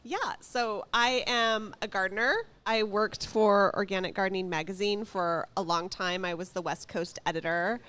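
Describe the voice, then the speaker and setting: A woman's voice: mid-range voice, fairly even intonation, normal speed, hardly any pauses, a bit bright, smooth. Chatty woman interview guest. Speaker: guest, gardening author and former magazine editor. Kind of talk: casual radio interview.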